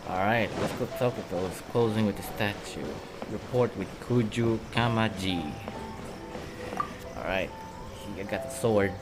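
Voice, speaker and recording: A man's voice: lowish voice, measured pace, loud music behind the voice, low-quality recording. A man giving casual commentary as he plays a video game. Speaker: gamer.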